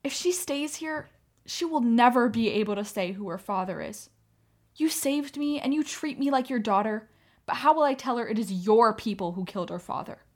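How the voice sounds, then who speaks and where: A woman's voice: a little high, lively intonation, moderate pace, darkish tone. A woman acting in a radio play as an anguished, defiant young Armenian mother, pleading with feeling. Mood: resolute, sorrowful. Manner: impassioned, pleading.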